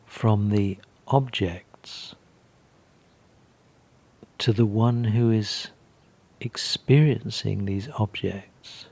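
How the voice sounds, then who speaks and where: Slow, husky man guiding meditation. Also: on the low side. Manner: gentle, calm, unhurried with long pauses. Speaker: meditation guide. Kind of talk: guided meditation.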